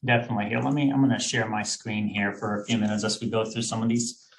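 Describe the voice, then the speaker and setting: A man's voice: fairly low pitch, level tone, fast, vocal fry, slight background noise. Man presenting on a webinar. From Sweden. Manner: friendly, explanatory, with frequent fillers and restarts. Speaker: executive recruiter, guest.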